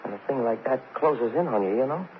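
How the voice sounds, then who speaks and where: A man's voice: medium pitch, animated, natural voice. An actor playing a self-pitying, cornered drunk man who stammers and repeats himself in an old radio crime drama. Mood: anguished, pleading. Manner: halting, repetitive, stammering, street slang. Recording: muffled, hissy old radio recording.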